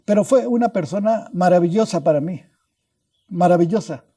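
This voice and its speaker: A man's voice: high voice, warm tone. An older man from Mexico with a Mexican Spanish accent, telling stories as a guest scientist in an interview, restarting words and adding tag questions as he goes. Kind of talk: anecdotal storytelling in a podcast interview.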